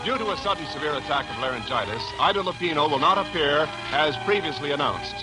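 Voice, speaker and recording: Casting: showy 1940s male announcer, raised pitch, measured and emphatic over music. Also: expressive, poor audio. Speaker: radio announcer. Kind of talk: formal 1940s radio announcing. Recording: old 1940s radio broadcast, music behind.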